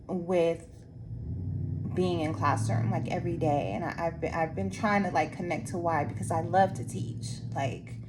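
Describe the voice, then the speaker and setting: A woman who trains art teachers, losing her voice and sounding hoarse, talking slowly with many restarts. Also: lowish voice, slight background noise. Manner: slow, halting, many restarts and fillers. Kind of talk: reflective casual monologue to viewers. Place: Anchorage area after workshops.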